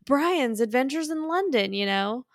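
A woman's voice: sits high, expressive, unhurried, crowd noise. A woman co-hosting a podcast in casual chat, speaking in a playful, informal way. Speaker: podcast co-host.

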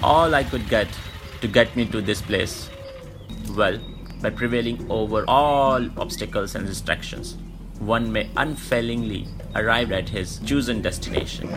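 A man's voice: fairly low pitch, a little slow, poor audio. A man acting a wry, wordy newcomer aboard a ship in an audio drama. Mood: wry, courteous. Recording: music under the dialogue.

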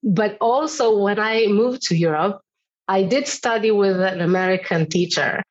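A woman's voice: medium pitch, a little slow. Woman on a dull line. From Israel. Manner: thoughtful, frank, laughs often. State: slightly hoarse from illness. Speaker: singing teacher guest. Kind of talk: casual interview chat.

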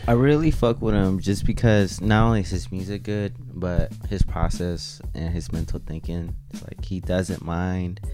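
A man's voice: sits low, steady tone, normal speed, hardly any pauses. A man co-hosting a music show, chatting casually and informally with plenty of slang. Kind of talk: casual chat about music.

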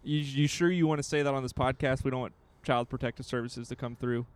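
A man's voice: mid-range voice, fairly even intonation, fast talker, clean tone, no room sound. A young man co-hosting a podcast in casual, playful, rambling chat, recorded outdoors in a courtyard. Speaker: podcast co-host.

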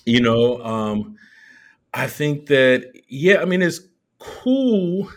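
A man co-hosting a casual film commentary, talking in a measured, halting way as he thinks aloud. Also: medium pitch, big pitch swings. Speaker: podcast co-host.

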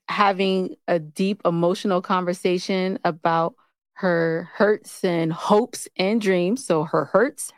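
A woman's voice: lowish voice, no room sound. A woman co-hosting an unscripted relationship chat, blunt, playful and slangy in the way she talks. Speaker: co-host of a couples' relationship show.

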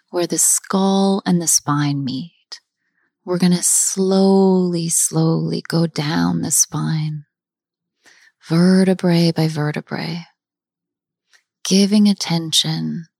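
A woman guiding a chakra body scan meditation, speaking softly and often half-whispering, with long pauses. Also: fairly low pitch, creaky, dry recording, clean studio sound. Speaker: meditation guide. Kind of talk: guided meditation.

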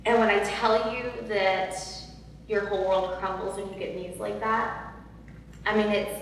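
Interview guest, woman, loud and heartfelt; roomy, distant sound. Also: medium pitch, fairly even intonation, measured pace. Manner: earnest, emotional, many fillers and repeats. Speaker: podcast guest. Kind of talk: personal storytelling in an interview. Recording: echoey hall from far off, faint noise.

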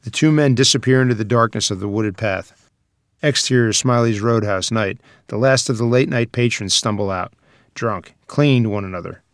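A man's voice: fairly low pitch, moderate pace, no room sound, studio quality. A man reading stage directions as scripted screenplay narration.

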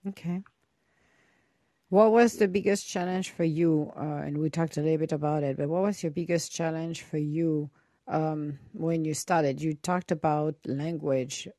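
A woman who is not a native English speaker interviews a guest with curious questions, chatting and laughing often. Her voice sits a little low, and the sound is dry and close. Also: fairly even intonation, measured pace, dark tone, no echo. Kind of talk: interview conversation.

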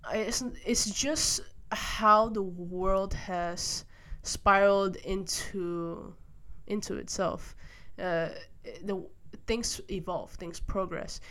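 A woman's voice: mid-range voice, steady tone, slow, fairly bright tone. A young woman from Malaysia hosting a solo podcast, talking through her views in a thoughtful, hesitant way with many fillers and restarts, sitting by a window. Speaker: podcast host. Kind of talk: casual solo monologue. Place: room by a window, rain outside.

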